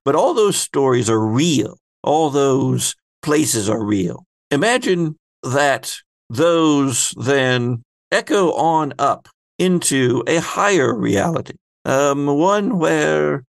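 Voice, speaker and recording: A man's voice: mid-range voice, lively intonation, slow pace, vocal fry, dry recording, clean studio sound. A man playing a grandiose, cryptic female keeper of stories in a tabletop game, speaking in a theatrical, philosophical way. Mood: musing, ominous.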